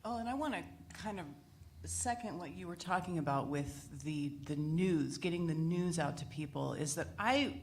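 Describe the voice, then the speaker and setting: Deep-pitched woman chatting on a panel. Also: animated, moderate pace. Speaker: radio panelist. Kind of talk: casual panel discussion talk. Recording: noisy room ambience.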